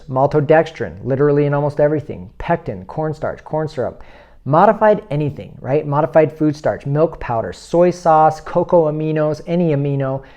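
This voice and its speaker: An earnest man in his late thirties talks straight to his viewers in a casual health video. He presses his points with urgency and runs through long lists of items in quick succession. His voice sits at an ordinary pitch for a man. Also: dark tone. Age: 30s. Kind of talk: informal health talk to camera.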